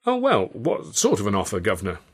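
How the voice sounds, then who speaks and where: A man's voice: fairly low pitch, huge pitch swings, brisk, husky, heavily acted. A man voicing an anxious, deferential comedian looking for work, hesitant and eager to please, in a storybook reading. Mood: eager, uneasy. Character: anxious, deferential male music-hall comedian seeking work.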